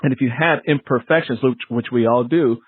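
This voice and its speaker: A man hosts a book interview in an easy, conversational register. His voice sits at a middle pitch and is recorded dry and close. He talks informally, with colloquial asides. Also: a little fast, breathy edge, dry recording, phone-quality sound. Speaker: interviewer and fellow author.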